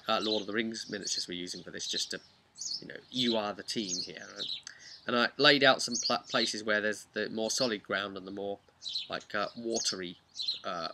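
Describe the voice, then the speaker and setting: A man, a game master, casually recapping a tabletop session in an animated, rambling, emphatic way. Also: mid-range voice, noisy background. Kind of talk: casual unscripted storytelling vlog.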